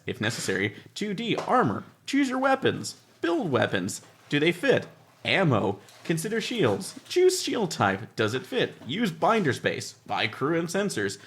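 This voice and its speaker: A man's voice: fairly high pitch, a bit bright. Man casually explaining game rules. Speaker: podcast panelist. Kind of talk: casual group chat about tabletop game rules.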